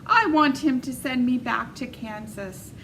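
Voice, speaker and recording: A woman voicing a timid, kind young girl in a storybook read-aloud, soft and earnest. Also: high voice, unhurried, slight background noise. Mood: earnest, friendly.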